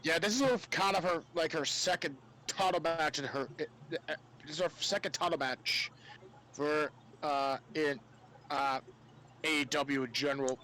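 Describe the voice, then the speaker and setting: A man's voice: a little high, moderate pace, pushed and bright, a little hiss or hum. A man chatting casually about sport as a podcast panelist.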